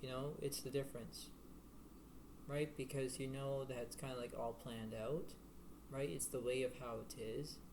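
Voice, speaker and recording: A man giving a casual tarot reading to the viewer in a halting, repetitive, rambling way. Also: steady tone. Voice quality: low-volume voice. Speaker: tarot card reader. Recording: noisy homemade recording with room ambience.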